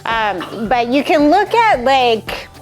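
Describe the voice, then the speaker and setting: A woman's voice: high-pitched, very animated, slow, soft background music, rough recording. An American woman in her forties speaking informally and with some self-deprecation as she presents to a small group in a conversational way. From Massachusetts, United States. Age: 40s. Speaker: presenter, nonprofit president.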